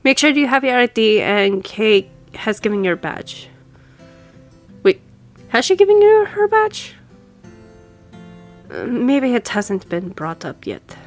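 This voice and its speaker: A woman's voice: on the high side, very animated, takes it slowly, long pauses, faint music behind. A woman playing a friendly, businesslike law firm boss in an audio roleplay, speaking conversationally to the listener. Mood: brisk, helpful. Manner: businesslike, conversational, lightly teasing. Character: brisk, friendly female law firm boss giving instructions.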